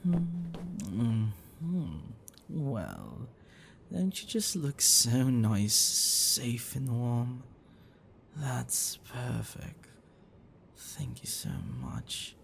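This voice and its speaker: A man voicing an affectionate, protective, bashful dragon boyfriend in a soft, breathy, hesitant ASMR roleplay. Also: medium pitch, big pitch swings, extremely slow, a little hiss or hum, heavily acted. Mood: tender, caring.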